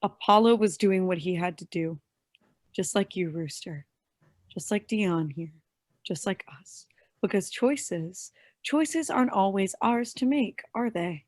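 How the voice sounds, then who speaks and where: A woman's voice: mid-range voice, lively intonation, unhurried, a little mellow, no echo, clean studio sound. A woman playing a tough, wary young vigilante in a staged play reading. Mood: grim, quiet.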